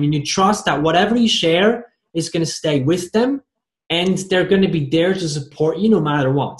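The host of an interview podcast in an echoey room, a man with a slightly high voice who circles and restarts his way toward each question. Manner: rambling, stumbles and repeats words. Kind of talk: conversational interview. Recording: roomy, echoey sound.

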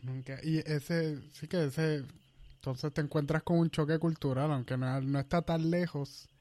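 A man interviewing a guest, asking halting, relaxed questions and backchanneling often. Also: fairly high pitch, dry recording. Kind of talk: casual interview.

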